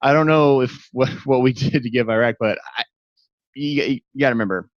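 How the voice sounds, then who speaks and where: A man's voice: mid-range voice, fairly quick, no echo. An American man chatting casually as a podcast guest, given to mock-serious quips. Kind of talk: casual chat. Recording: dry, slightly dull podcast sound.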